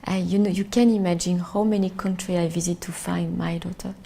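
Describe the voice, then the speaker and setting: A middle-aged woman from France with a French accent, speaking English as a second language in a reflective interview, halting and searching for words. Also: on the low side, little pitch movement, gravelly, dark tone. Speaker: documentary interviewee.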